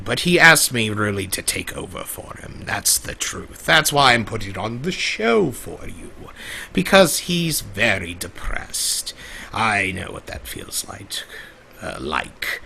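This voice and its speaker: Ancient male jester-spirit played by a man, gravelly and husky, slow and swooping, theatrical. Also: fairly low pitch, bright, forceful tone, slight background noise, exaggerated voice. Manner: theatrical, flamboyant, rambling. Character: centuries-old male pony-world trickster, theatrical jester. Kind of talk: live roleplay monologue to an audience.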